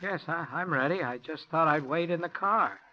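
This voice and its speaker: A man playing a quietly menacing young handyman in a 1940s radio drama, speaking in a soft, hushed voice. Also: on the high side, normal speed, natural voice. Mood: meek. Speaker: radio actor. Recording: muffled vintage radio broadcast, noisy.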